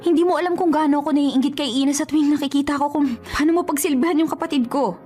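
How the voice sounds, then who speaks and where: Young woman voiced by an actress, Filipino accent, high voice, quick, scolding, torn, radio drama. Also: steady tone, mellow, faint music behind, natural voice. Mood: hurt confession. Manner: emotional, scolding. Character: young woman, guarded, scolding, torn by love and sisterly loyalty.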